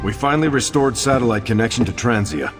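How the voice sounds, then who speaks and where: An actor playing a noble, resolute soldier superhero, earnest and commanding, in a video game cutscene. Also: male voice, medium pitch, lively intonation, fast talker, loud music behind the voice, lightly acted. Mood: tense, determined.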